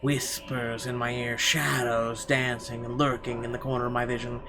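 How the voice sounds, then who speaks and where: A man with a medium-pitched voice reads a visual novel's narration aloud in the manner of a let's player. Game music plays clearly behind him. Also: level tone, moderate pace.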